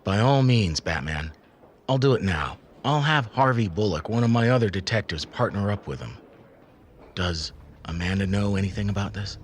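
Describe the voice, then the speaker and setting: An actor playing a stern, weary, authoritative police chief in an audio drama, firm and no-nonsense. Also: male voice, fairly low pitch, animated, normal speed, background noise, lightly acted. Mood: concerned.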